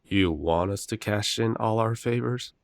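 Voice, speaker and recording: A man playing a level-headed, wry werewolf brother in an audio roleplay, speaking casually and in a measured way. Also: lowish voice, creaky, no echo, studio quality.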